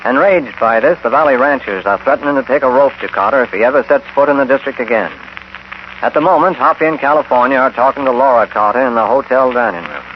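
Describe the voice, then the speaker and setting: A man's voice: medium pitch, fast talker, a little hiss or hum. An American man narrating a radio western as its announcer, in scripted 1950s style. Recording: muffled old radio broadcast. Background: loud brass music.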